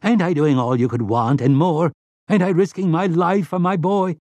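Man doing a gruff, aggrieved country man, raised pitch. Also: moderate pace, darkish tone, no room sound, lightly acted. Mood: pained, whiny. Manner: snarling, aggrieved, folksy. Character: rough country man, guilt-ridden traitor, snarling and whiny.

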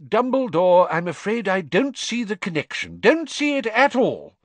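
A man's voice: sits high, wildly swooping pitch, moderate pace, no echo, voice pushed up, full character voice. A man reading an audiobook and voicing a blustering, pompous middle-aged male official who gets flustered and insistent.

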